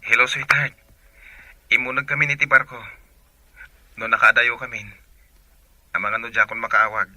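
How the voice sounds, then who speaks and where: Male romantic lead: young sailor, reassuring, very bright pressed voice, Ilocano accent, radio serial. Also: mid-range voice, little pitch movement, moderate pace, long pauses, no room sound. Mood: affectionate, reassuring. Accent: Filipino, Ilocano. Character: affectionate young seafaring sweetheart saying farewell. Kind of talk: radio drama acting.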